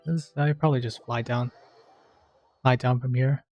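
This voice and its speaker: A man's voice: medium pitch, fairly even intonation, normal speed, dull audio. Laid-back man commenting on a game. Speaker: gamer playing through a game's story quests. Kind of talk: casual gameplay commentary. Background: video game music.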